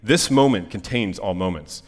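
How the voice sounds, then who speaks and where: A man voicing a stern burning angel, speaking in terse, measured, absolute statements. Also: lowish voice, expressive, big-room sound. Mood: solemn, firm. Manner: terse, solemn, measured, insistent.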